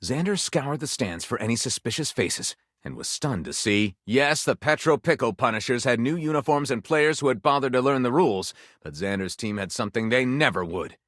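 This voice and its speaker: A melodramatic male storyteller, played by an actor for a video game, spins pulpy adventure tales as grand theatre. His pitch swings widely and he stretches out suspenseful pauses with overwrought relish. The recording is close and dry. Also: expressive, a bit bright, no echo, lightly acted. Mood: dramatic, suspenseful. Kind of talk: scripted dramatic narration for a video game.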